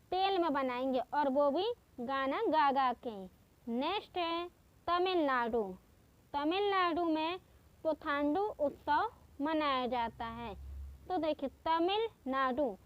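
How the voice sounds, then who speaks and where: A woman teaching exam-prep memory tricks in a slow, patient way, repeating each step. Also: sits high, dull audio. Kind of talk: exam-prep mnemonic lesson.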